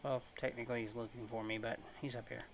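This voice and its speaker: A man's voice: mid-range voice, level tone, quick pace, a little hiss or hum. A man giving casual, rambling let's play commentary as he hosts a gaming video, informal in his wording. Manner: rambling, informal, mild swearing.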